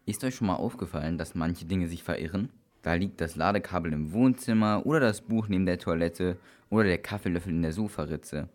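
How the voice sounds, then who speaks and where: Low-voiced male children's radio presenter. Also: fairly quick, a little mellow. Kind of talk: scripted tips for children.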